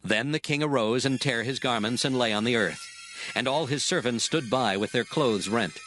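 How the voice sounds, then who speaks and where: A man's voice: medium pitch, moderate pace, a bit bright, faint music behind, poor audio. A man narrating scripture from a script in a solemn, formal manner.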